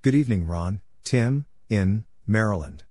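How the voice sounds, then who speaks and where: A man with a soft, dark tone reads practice material for radio operators. His delivery is very slow and measured, and the pitch sits on the low side. Letters, numbers and short phrases come out one clipped piece at a time. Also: expressive, dry recording, clean studio sound. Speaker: narrator of Morse code practice drills. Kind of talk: spoken readback of call signs and short radio exchanges, letter by letter.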